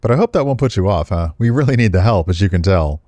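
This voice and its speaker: A man plays a frank, easygoing guy on a restaurant staff in a visual novel. His voice is low and mellow. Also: expressive, fast talker, no echo. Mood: friendly.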